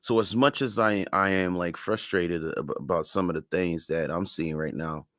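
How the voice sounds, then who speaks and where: A man's voice: low voice, normal speed, a bit bright, dry recording, studio quality. A man whose first language is French, speaking English as a music artist guest in a casual interview, earnest and emphatic.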